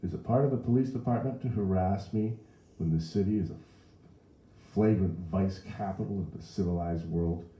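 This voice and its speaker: A dark-toned, pompous young man, acted by a male narrator holds forth in florid, scornful speeches. He is haughty and declamatory, in a roomy storybook recording with clear echo. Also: low voice, normal speed. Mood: indignant, scornful.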